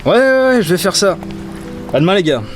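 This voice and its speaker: An actor playing a tired, anxious dockworker in a fantasy audio drama, with a hesitant, stammering way of talking. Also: male voice, high voice, sing-song, brisk, over music. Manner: hesitant, stammering, muttering to himself. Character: weary, anxious male dockworker in a fantasy port.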